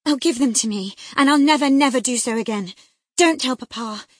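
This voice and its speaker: A spoiled young girl begging in a high, breathless voice, as a woman reads her out. Her tone stays imploring and tearful, with a faint huskiness. The sound is dry and close. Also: normal speed, a little mellow, no room sound. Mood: pleading.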